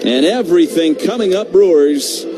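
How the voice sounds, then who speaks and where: A man doing live radio baseball play-by-play, conversational between pitches and excitable on the big calls. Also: normal speed, music in the background. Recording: noisy live radio broadcast feed.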